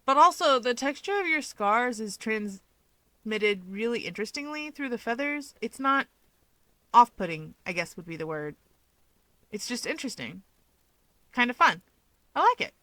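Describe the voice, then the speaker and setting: A woman voicing an excitable, proud and huffy young winged male hero in a fan fiction audiobook, emphatic and argumentative. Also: a little high, moderate pace, long pauses, no echo, rough recording. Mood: awkward, earnest.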